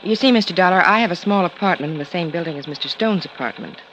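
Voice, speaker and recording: A man's voice: sits high, animated, fast talker, a bit bright. A man voicing a prim, nervous female secretary in a 1950s radio drama, speaking in a hesitant, careful way. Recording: dull old radio recording with hiss.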